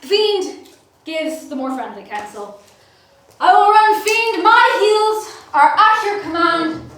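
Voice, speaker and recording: A woman playing a comic young male servant, talking through a lively stage soliloquy in an echoey hall from far off. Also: very high-pitched, takes it slowly, slight background noise, exaggerated voice. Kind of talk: stage acting.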